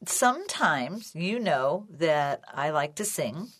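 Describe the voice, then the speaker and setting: A woman's voice: deep voice, sing-song, takes it slowly, a bit bright. A woman hosting a casual chat, asking questions in a chatty way and laughing easily.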